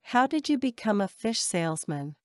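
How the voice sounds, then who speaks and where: A woman reading short English phrases for a language lesson, saying each one slowly and carefully twice. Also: medium pitch, sing-song, dry recording, studio quality. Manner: measured, careful enunciation. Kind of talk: slow repeated drill phrases.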